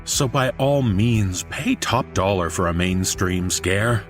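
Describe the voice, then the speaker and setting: Low-voiced man introducing a horror tale. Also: expressive, slow, music in the background. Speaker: podcast host. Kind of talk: scripted episode introduction.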